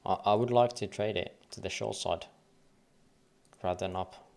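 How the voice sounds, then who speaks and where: A man's voice: sits low, fairly even intonation, moderate pace, creaky, a little mellow. A man from Finland with a light Finnish accent, thinking aloud and counting waves under his breath as he goes through charts on a casual trading livestream.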